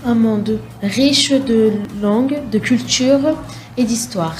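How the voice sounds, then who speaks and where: A woman presenting a radio show, reading scripted lines in a clear, measured way. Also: on the high side, rough recording. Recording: steady background noise.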